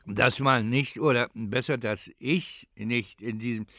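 An older man from East Germany, a former professor, recalling his life in an interview in a reflective, halting way as he searches for words. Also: medium pitch, steady tone, bright, forceful tone, slight background noise.

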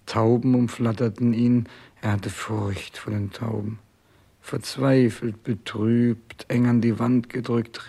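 Husky, halting dying king. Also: medium pitch, dark tone, exaggerated voice. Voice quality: husky, gravelly. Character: dying old king grieving for his lost cat. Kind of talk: 1960s German radio play acting.

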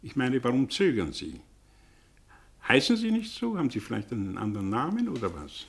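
A man's voice: medium pitch, huge pitch swings, creaky. An actor in a German radio play playing a suspicious, sly middle-aged tutor with a theatrical, probing way of drawing out his phrases. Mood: teasing, probing. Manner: theatrical, probing, drawn-out phrasing with sudden swings. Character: suspicious, sly English tutor, a man living under an alias.

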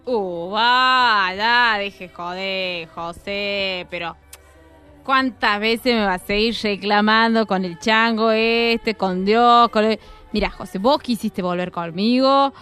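A woman's voice: medium pitch, slow pace, sharp tone, smooth, soft background music. A woman with an Argentine accent playing a bored, dismissive middle-aged wife who brushes off her husband's complaints with sighs, in a radio comedy sketch. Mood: annoyed. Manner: sighing, dismissive, drawling.

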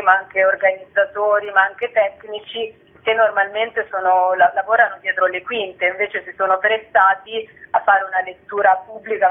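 A woman speaks over a narrow, tinny telephone connection. Her voice is of middling pitch, and she talks spontaneously as a guest in a live radio interview. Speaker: theatre festival organiser being interviewed.

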